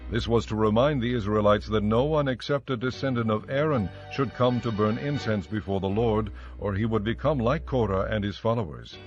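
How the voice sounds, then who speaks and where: Slightly muffled man narrating bible audiobook, solemn and measured, soft music under. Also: on the low side. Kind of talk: scripted dramatized Bible narration. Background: faint music.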